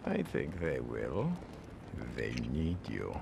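An actor playing a sly, scheming old chancellor in a video game, with a gravelly, slow and deliberate voice. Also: male voice, a bass voice, huge pitch swings, heavy background noise, heavily acted. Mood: sly, calculating. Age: older.

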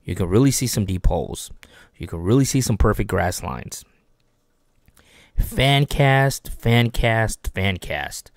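A man in his thirties from Texas, hosting a fishing channel, giving animated, repetitive tips to camera from a small home studio on a desk microphone. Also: fairly low pitch, slow, no room sound. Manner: animated, repetitive coaching with lots of hand gestures. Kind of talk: instructional video talk to camera. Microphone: desk microphone on boom arm.

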